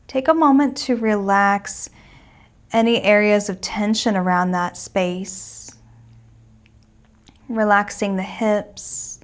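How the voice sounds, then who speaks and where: A woman teaching a guided meditation in a gentle, soothing and reassuring manner. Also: medium pitch.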